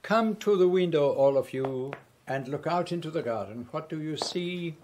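A man's voice: fairly high pitch, animated, darkish tone, slight background noise, natural voice. A man playing a precise, polite detective. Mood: calm, knowing. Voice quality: formal, measured diction with French touches. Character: fussy foreign male detective, precise and polite. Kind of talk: radio drama acting.

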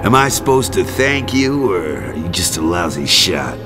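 Gruff, sardonic brawler hero for a video game, very low register, animated delivery, wry wisecracks. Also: male voice, moderate pace, over loud music. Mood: menacing. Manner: wry, tough-guy wisecracking. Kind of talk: video game cutscene acting.

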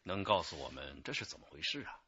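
A deep-voiced, probing detective chief. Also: male voice, very animated, quick pace, bright, forceful tone, highs cut off, a little hiss or hum, heavily acted. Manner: questioning, commanding. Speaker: actor in a police radio drama. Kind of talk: Chinese radio drama acting.

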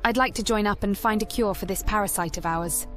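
A woman playing a composed elven sorcerer in a fantasy game, speaking in a measured, formal manner. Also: medium pitch, fairly bright tone, soft background music, close to an everyday voice. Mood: businesslike. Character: composed, cool-headed female elven sorcerer adventurer.

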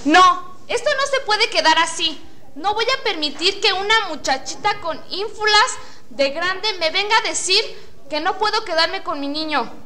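A woman's voice: extremely high pitch, lively intonation, moderate pace, bright, forceful tone, exaggerated voice. A woman playing an anxious, indignant, overprotective mother in an agitated, theatrical student radio drama. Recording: faint noise, amateur classroom radio recording.

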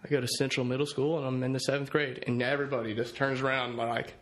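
A man's voice: mid-range voice, flat delivery, quick pace, rough recording. A young man in his twenties, a college quarterback, telling stories in a casual podcast interview. He talks easygoing and repeats words as he goes. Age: 20s. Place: podcast studio at night, indoors. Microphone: studio mic on boom arm.

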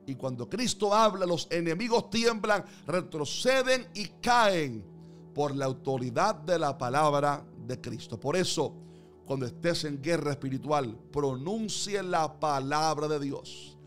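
A man's voice: fairly high pitch, expressive, unhurried, a bit bright, soft background music. A man preaching a Bible sermon in an earnest, declamatory way, building emphasis through repeated phrases.

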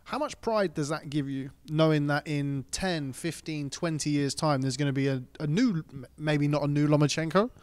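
A man's voice: on the high side, normal speed, hardly any pauses, darkish tone, clear, resonant tone, dry recording. A man interviewing a boxing manager, asking long, rambling questions.

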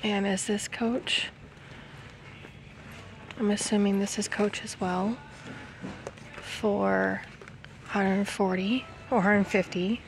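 A woman in her thirties narrates a shopping vlog in a casual way. Her pace is slow, with pauses between items, and her pitch is average. There is clear store ambience behind her voice. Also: steady tone, a little hiss or hum, poor audio. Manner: unhurried, item-by-item commentary with pauses. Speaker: vlogger. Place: retail store aisles, some in a parked car.

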